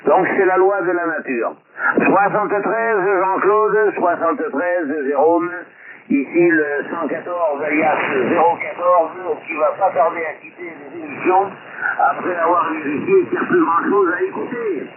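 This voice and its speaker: A man's voice: high-pitched, animated, unhurried, very noisy. A man chatting as an amateur radio operator, jovial and wordy with flowery phrasing, over a radio transmission. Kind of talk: casual radio sign-off chat. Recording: tinny narrow-band radio link, loud babble of interference.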